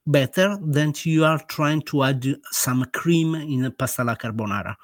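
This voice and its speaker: A man's voice: fairly high pitch, moderate pace, dry recording. A man with an Italian accent, a guest author in a casual interview, speaking hesitantly with many fillers and restarts. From Italy.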